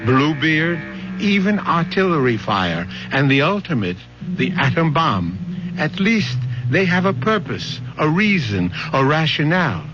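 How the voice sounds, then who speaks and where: A man hosting and narrating a radio drama in a theatrical, portentous, measured style. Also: fairly high pitch, very animated. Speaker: host and narrator. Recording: dull old radio broadcast with music.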